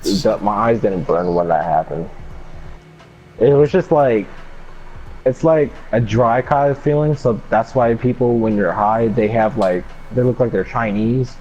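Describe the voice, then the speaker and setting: A man's voice: lowish voice, measured pace, a little mellow. A young man telling a personal story casually in a laid-back, slangy way with some swearing. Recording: dull lo-fi mic with background noise.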